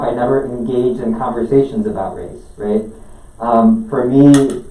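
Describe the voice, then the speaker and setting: A man in his thirties from Peru speaks haltingly at a board meeting, padding his words with fillers and restarting often. His voice is mellow and dark. It comes from a distance in an echoey meeting room. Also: mid-range voice. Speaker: parent speaking at public comment. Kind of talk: public comment at a school board meeting. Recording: echoey room, picked up from afar.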